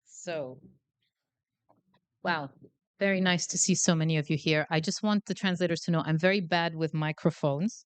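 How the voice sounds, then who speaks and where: A woman's voice: sits low, fairly even intonation, moderate pace, clear, resonant tone. A woman giving a guest lecture in a hall, chatty and self-deprecating, with many fillers, speaking into a handheld mic. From Canada. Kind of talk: academic lecture. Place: lecture hall before a live audience.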